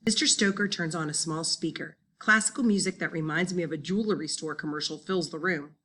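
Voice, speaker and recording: A woman reading a first-person children's novel as an expressive, dramatic audiobook narrator. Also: low-pitched, brisk. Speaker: audiobook reader.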